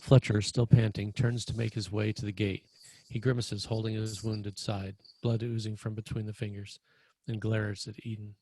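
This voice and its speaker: A man's voice: fairly low pitch, little pitch movement, normal speed, darkish tone, rough recording. A man reading scripted stage directions as the narrator of a screenplay table read.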